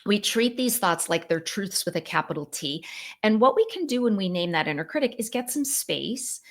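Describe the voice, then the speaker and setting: A middle-aged woman psychologist, a podcast guest, explaining ideas in an animated, conversational way, full of fillers like "like" and "you know.". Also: medium pitch, moderate pace.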